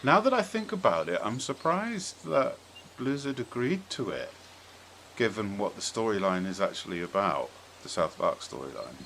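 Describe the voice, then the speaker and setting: Very deep-voiced man, podcast host. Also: big pitch swings, normal speed, fairly bright tone. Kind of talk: casual podcast discussion. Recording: clear background noise.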